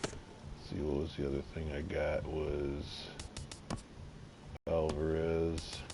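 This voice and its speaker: Slow, deep-voiced man, slightly nasal. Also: little pitch movement. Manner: halting, many fillers, unhurried and low-key. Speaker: gaming video creator. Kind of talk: casual gameplay commentary. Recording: audible background noise.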